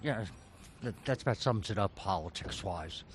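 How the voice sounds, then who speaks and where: A man's voice: fairly low pitch, expressive, moderate pace, husky. A man from New York, blunt and profane in a street interview. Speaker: passerby interviewee. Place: outdoors on a city street.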